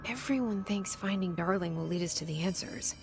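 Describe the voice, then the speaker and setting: Direct, guarded game heroine. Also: female voice, lowish voice, fairly quick, creaky, music in the background. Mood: probing, resolute. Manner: direct, terse, questioning. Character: determined, guarded young woman, direct and probing. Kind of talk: video game dialogue acting.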